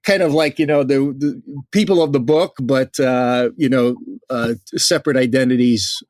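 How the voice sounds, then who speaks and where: Dry-sounding halting male podcast host. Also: mid-range voice, fairly even intonation, darkish tone, no room sound. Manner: halting, thinks aloud with many fillers. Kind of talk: conversational interview.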